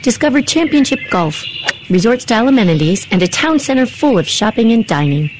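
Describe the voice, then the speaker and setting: A woman's voice: on the high side, sing-song, fairly quick, noisy background. A woman reading a scripted radio commercial in an inviting, upbeat way. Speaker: ad announcer.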